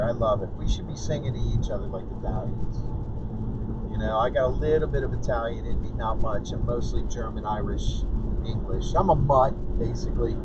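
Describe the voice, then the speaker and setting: A man from Pittsburgh hosting a casual vlog while driving, chatty and rambling as he talks to his viewers, losing his voice. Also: medium pitch. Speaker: vlog host. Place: in a car on a highway. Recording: muffled, distant, loud road noise. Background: car and road noise.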